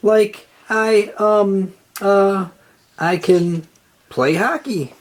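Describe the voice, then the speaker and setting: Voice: mid-range voice, very slow, pitched above the performer's own voice. A storyteller voicing a dim but confident, good-natured male starfish in a children's storybook, simple and enthusiastic. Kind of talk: children's storybook read-aloud.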